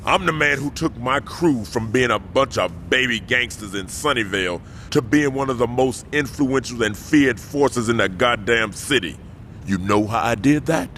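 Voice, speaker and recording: An actor playing a commanding, aging gang boss who talks in a slow, deliberate, menacing way in a video game cutscene. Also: male voice, medium pitch, expressive, a bit bright, a little hiss or hum.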